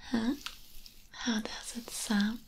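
A woman's voice: a little high, little pitch movement, takes it slowly, vocal fry, poor audio. A young woman in an intimate ASMR comfort roleplay, whispering breathily and soothingly, very close to the microphone. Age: young adult. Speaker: ASMR performer. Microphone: close-up microphone, speaking into it ear to ear.

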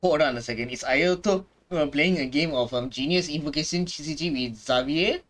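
A man's voice: a little high, a little fast, fairly bright tone. A man reacting to a game story at his desk, talking in a laid-back, hesitant way with joking asides. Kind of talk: casual reaction commentary. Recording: voice over game music.

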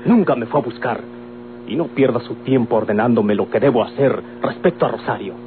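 A man's voice: medium pitch, fairly quick, close to an everyday voice. A man acting in an old Mexican radio drama as a firm, defiant grown son in love, earnest and declamatory. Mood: bitter, defiant. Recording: old tinny radio recording, noisy hum.

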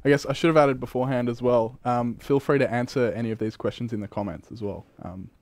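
A man's voice: mid-range voice, steady tone, fast, darkish tone. An Australian man hosting a church livestream panel, asking questions in an encouraging way into a handheld mic. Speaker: host. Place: church studio set, indoors. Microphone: handheld microphone.

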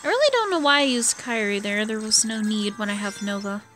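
A woman's voice: medium pitch, lively intonation, a little fast, fairly bright tone. A tired American woman giving chatty, casual gameplay commentary, thinking aloud as she plays. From US East Coast. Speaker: gaming video host. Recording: game music behind the voice. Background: video game music.

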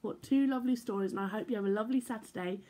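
A young woman reading picture books aloud to children from her living room, playful and expressive. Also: a little high, rapid-fire, poor audio. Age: young adult. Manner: animated, playful read-aloud with sound effects. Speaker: church children's storyteller. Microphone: laptop or phone camera at arm's length.

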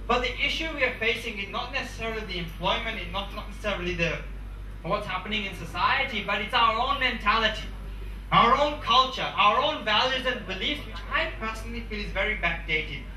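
A man's voice: high-pitched, brisk, bright, forceful tone, far from the mic, a little hiss or hum. A young man in his twenties giving an emphatic, repetitive motivational speech to students through a handheld mic in a large conference room. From England. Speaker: motivational speaker. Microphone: handheld mic, far camera. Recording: echoey room, recorded from afar.

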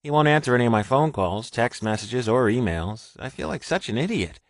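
A man's voice: medium pitch, expressive, brisk, no room sound, clean studio sound, voice pushed up, natural voice. A man voicing a small, anxious, educated young man in an audiobook, fretful and wordy. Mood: guilty. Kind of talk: audiobook character reading.

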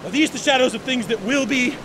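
An actor on stage playing old Scrooge, anguished and pleading with a spirit, in a large hall. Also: male voice, very high-pitched, fast, noisy background. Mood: fearful. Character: Scrooge, old miser now fearful and pleading. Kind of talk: live stage play reading. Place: large hall, live audience. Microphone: far camera.

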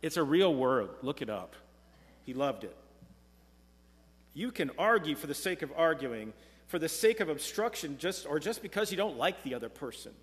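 A middle-aged man preaching a sermon from a pulpit, earnest and measured, slowing down when reading scripture. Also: a little high, sing-song. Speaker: pastor. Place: church sanctuary, speaking from a pulpit. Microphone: pulpit mic, far camera. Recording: long church reverb.

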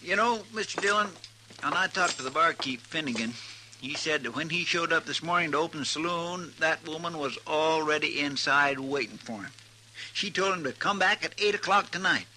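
An actor playing an earnest, folksy frontier deputy with rustic speech, in a 1950s radio western. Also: male voice, a little high, normal speed, bright, forceful tone, poor audio. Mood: folksy, reporting. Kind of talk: 1950s radio drama acting. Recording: vintage 1950s radio recording, faint noise.

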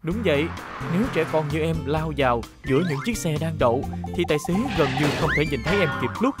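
A woman dubbing a calm, caring cartoon police rescue car in an earnest, instructive, measured manner. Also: low voice, close to an everyday voice. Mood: earnest, cautioning. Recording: studio dub over loud music.

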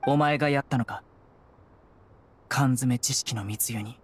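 A man's voice: medium pitch, unhurried, slight background noise, close to an everyday voice. An actor playing a stern, composed young male investigator in a Japanese game, with a grave, restrained delivery. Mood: grave, questioning. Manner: grave, restrained, matter-of-fact. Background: plucked-string background music.

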